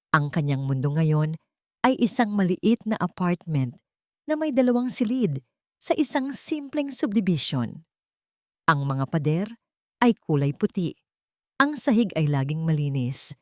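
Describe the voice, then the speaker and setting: A woman's voice: deep voice, no room sound, studio quality. A woman with a Filipino accent giving a scripted, dramatic narration of a story.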